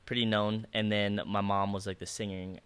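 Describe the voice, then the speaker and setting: A man's voice: lowish voice, little pitch movement, normal speed, a bit bright, smooth. A man who grew up in the Philippines and speaks Bisaya as his first language, a worship leader talking earnestly and reflectively in a casual conversation.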